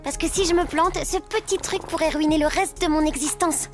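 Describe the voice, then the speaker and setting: A high, youthful schoolgirl voice for a cartoon. She is chatty, earnest and self-dramatizing, stressing her points in casual speech. Music plays clearly behind her. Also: steady tone, a little fast. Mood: earnest, insistent. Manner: talkative, emphatic, colloquial. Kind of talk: animated series voice acting.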